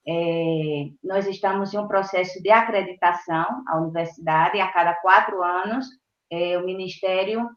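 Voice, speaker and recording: A woman professor from Brazil speaks at a webinar over a video call, pausing often as she searches for words. The sound comes through a roomy space and a dull, band-limited line that blurs the top end. Her pitch sits in an ordinary middle range. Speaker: university professor. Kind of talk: webinar talk.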